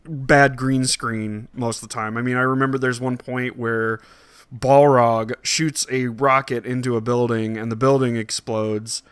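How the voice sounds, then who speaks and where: A man's voice: mid-range voice, level tone, unhurried, clean tone, no echo, clean studio sound. A man co-hosting a podcast, chatting casually and informally in a somewhat hesitant, rambling way. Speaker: podcast co-host. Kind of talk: casual chat about films and games.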